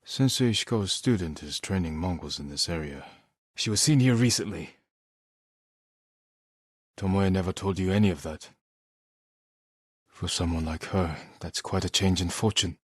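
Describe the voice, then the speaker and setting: An actor playing a solemn, resolute samurai warrior in a video game, speaking in a measured, earnest, formal way. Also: male voice, sits low, husky, no room sound, studio quality. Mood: grave, focused. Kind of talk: dramatic video game voice acting.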